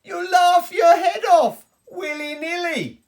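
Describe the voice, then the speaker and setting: A woman's voice: extremely high pitch, steady tone, slow, darkish tone, pitched above the performer's own voice, exaggerated voice. A woman voicing a tiny, cheeky male fool in a children's rhyme, bouncy and boastful. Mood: cheeky boasting.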